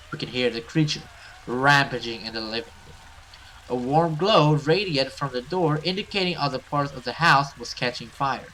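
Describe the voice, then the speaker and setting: Man, bright forceful voice, theatrical horror story narration, gamer reading aloud, slight noise behind. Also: on the high side, rough recording. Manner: theatrical, with casual swearing asides. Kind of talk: dramatic let's play narration of a horror story.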